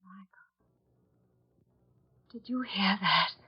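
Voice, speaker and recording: Scared captive diva in old radio horror, super breathy, dramatic, dull old recording. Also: female voice, mid-range voice, extremely slow, exaggerated voice. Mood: hushed disbelief. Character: captive opera soprano heroine, horrified, indignant, highly strung.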